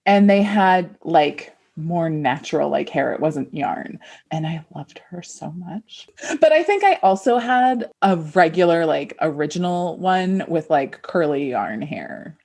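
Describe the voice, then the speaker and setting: Lower-voiced woman, lively podcast chat. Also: measured pace, gravelly. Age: 40s. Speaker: podcast co-host. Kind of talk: casual chat.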